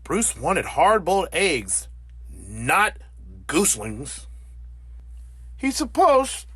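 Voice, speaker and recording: A man narrating a children's picture book as an animated read-aloud. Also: sits high, breathy edge, dry recording. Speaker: storybook narrator.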